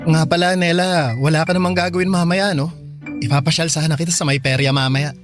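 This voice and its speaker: A man's voice: fairly high pitch, fairly even intonation, brisk, natural voice. A man playing a shy, sincere young suitor from the provinces in an audio drama. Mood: friendly. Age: young adult. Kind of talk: Tagalog audio drama acting. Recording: music behind.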